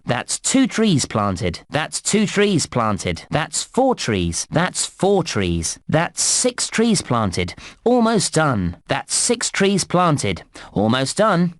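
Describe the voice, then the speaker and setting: A cheerful park guide for a children's computer game, pitched a little high. He gives scripted instructions with exaggerated enthusiasm and very lively swoops, always encouraging. The recording is dry and close. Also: dry recording. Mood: bright encouraging. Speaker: voice actor narrating game instructions.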